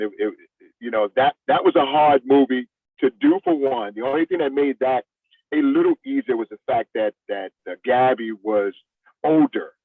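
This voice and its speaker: A middle-aged man from the United States talks casually as an interview guest. His speech is colloquial and conversational, at a middling pitch. He comes through small and phone-like, a little away from the mic, with very little room sound. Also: creaky, no room sound, far from the mic. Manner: colloquial, addresses listener as "man".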